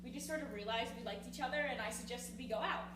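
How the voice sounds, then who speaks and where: A woman's voice: a little high, fast, close to an everyday voice. A woman acting an earnest, pushy teenage girl, the loyal best friend, in a dramatic stage play reading. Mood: sincere, friendly. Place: theatre stage, live performance. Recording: distant, echoey, noisy theatre recording with hum.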